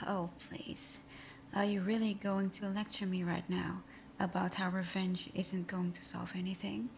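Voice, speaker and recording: A woman playing a supervillain thief whose teasing bravado hides a timid, wounded heart, in a breathy, often whispered, intimate ASMR roleplay style. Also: lowish voice, a little mellow, a little hiss or hum. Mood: scornful, sarcastic. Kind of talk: ASMR roleplay audio drama.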